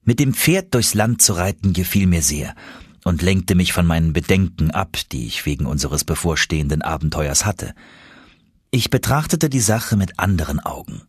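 Low, husky male audiobook narrator. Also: animated, normal speed, dry recording, studio quality. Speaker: audiobook reader. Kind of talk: scripted first-person audiobook narration of an adventure novel.

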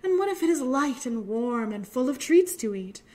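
Lady doing a gentle mama penguin from a kids story, high voice, tender and coaxing. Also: sing-song, normal speed. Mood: soothing. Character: gentle, reassuring mother penguin. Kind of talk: children's storybook read aloud.

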